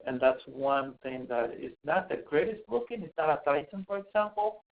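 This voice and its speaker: A man's voice: on the high side, expressive, normal speed, vocal fry. Man from Costa Rica, hesitant, tinny line. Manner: hesitant, many fillers and restarts. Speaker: asthma program home visitor. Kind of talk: webinar presentation. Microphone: webinar call mic. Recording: tinny phone-like webinar audio.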